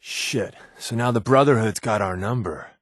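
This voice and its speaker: A man's voice: fairly low pitch, moderate pace, no echo, studio quality, close to an everyday voice. A man playing a sly, wry undercover resistance agent in a video game, talking in a casual, glib, sardonic way. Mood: alarmed. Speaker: video game companion character. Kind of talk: scripted game dialogue, conversational.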